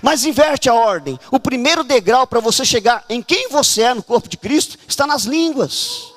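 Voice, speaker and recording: A man from Brazil preaching a fervent charismatic sermon at a church conference, often shouting and urging the congregation to act. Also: extremely high pitch, lively intonation, normal speed.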